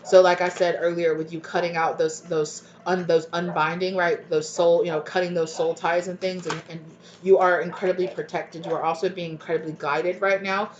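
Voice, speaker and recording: A woman's voice: fairly low pitch, little pitch movement, normal speed, hardly any pauses. A woman with a stuffy, congested voice giving a channeled tarot reading. She rambles and keeps checking in with "okay?" and "right?". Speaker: tarot reader. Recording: dull lo-fi home recording, faint noise. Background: dogs barking at times.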